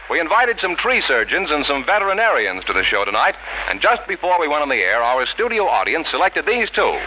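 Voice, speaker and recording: A man announcing in the style of a 1940s radio show. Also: on the high side. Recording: noisy vintage 1940s radio recording.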